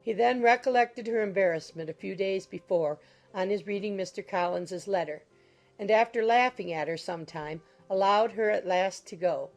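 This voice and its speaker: A woman narrator reading a classic novel in a measured, literary storytelling manner. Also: medium pitch. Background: soft music.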